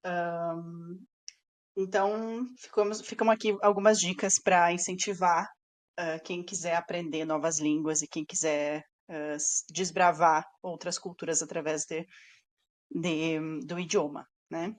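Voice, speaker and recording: A woman's voice: on the low side, unhurried. A Brazilian woman hosting a podcast, steadily moderating the conversation. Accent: Brazilian Portuguese. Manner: steady, moderating, wrapping up points. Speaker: podcast host. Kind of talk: casual chat.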